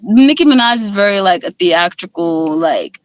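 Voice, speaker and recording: A woman's voice: medium pitch, animated, moderate pace, no room sound. A young woman from Guyana, guest musician in a casual interview, talking candidly with lots of fillers.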